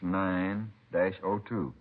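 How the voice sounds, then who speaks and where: Methodical police lab technician, low husky voice, matter-of-fact, muffled vintage radio show. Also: male voice, fairly even intonation, slow pace. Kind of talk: 1950s radio crime drama acting.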